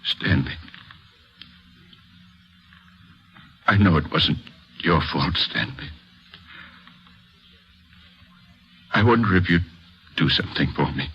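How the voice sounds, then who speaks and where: A man's voice: a bass voice, lively intonation, normal speed, breathy edge, exaggerated voice. An actor playing a grieving father in a 1940s radio drama, American, his voice choked with emotion as he pleads, broken. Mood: subdued grief. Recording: muffled vintage 1940s radio recording.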